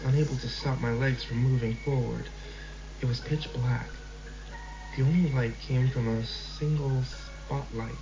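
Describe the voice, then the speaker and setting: A man's voice: medium pitch, gravelly. Man narrating a horror story. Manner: measured, slow in tense passages. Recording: slightly dull lo-fi recording with music.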